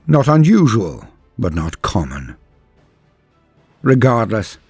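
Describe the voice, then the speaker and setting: A man with a fairly low, husky voice delivers scripted, dramatic lore narration. His delivery is grave and measured, in the formal wording of a storyteller, with faint music playing behind him. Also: darkish tone. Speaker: narrator of a science fiction lore channel. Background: background music.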